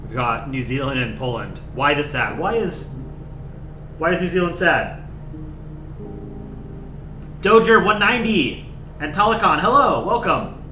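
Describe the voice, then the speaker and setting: A young man hosting a casual livestream, chatting in a relaxed, rambling way and thanking viewers by name. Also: fairly high pitch, a little slow, long pauses, echoey room, music in the background. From United States. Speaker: livestream host. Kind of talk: casual chat.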